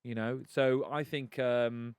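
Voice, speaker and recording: A man's voice: medium pitch, fairly even intonation, slow, smooth, no room sound. A man co-hosting an advice podcast, chatty and emphatic, getting indignant. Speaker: podcast co-host. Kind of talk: casual advice chat.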